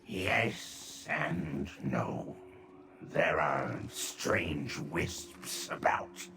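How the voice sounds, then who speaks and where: An actor playing a sly, wry adventurer in a video game, with a dry, deliberate delivery. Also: male voice, extremely low pitch, expressive, full character voice. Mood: intrigued. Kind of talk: video game character dialogue. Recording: clear background ambience noise.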